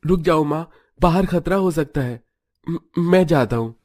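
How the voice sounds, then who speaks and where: A man's voice: fairly high pitch, measured pace, darkish tone, natural voice. A man playing a poor, worried family man in a cartoon story, earnest and pleading.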